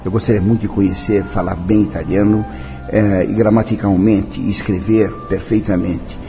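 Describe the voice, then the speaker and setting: An older man speaks, his voice low-pitched and mellow. He talks in an interview with a solemn, declamatory manner, slipping now and then into other languages. Faint music plays behind him. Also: little pitch movement, moderate pace. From Brazil. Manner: solemn, declamatory, mixing in French and Italian phrases. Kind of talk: interview, personal reminiscence.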